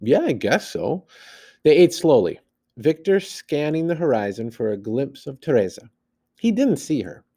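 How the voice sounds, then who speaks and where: A man reads a story aloud in a mellow, relaxed tone. His pitch moves around in a lively way as he narrates expressively, in the manner of a teacher with a class text. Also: normal speed. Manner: animated, acts out the dialogue.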